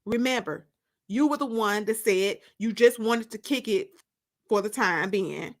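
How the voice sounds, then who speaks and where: A woman's voice: mid-range voice, unhurried, close to an everyday voice. A woman voicing a tall, dismissive young man who talks in a curt, taunting, colloquial way in a dramatic audiobook. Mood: defiant.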